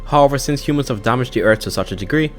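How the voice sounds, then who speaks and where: A man narrates at a quick clip, with music playing underneath. His delivery is a scripted explainer with a casual, conversational turn of phrase, sitting at an average male pitch. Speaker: narrator of a YouTube explainer channel. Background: background music.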